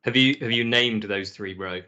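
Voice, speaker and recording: A man's voice: lowish voice, normal speed, sharp tone. A man hosting a podcast, asking questions in a friendly, self-deprecating way. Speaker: podcast host. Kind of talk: casual podcast interview. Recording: slightly dull, lo-fi audio.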